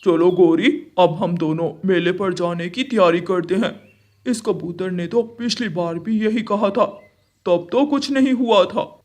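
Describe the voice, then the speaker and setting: A man voicing a dismissive, blaming crow husband in a children's animated story. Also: high-pitched, measured pace.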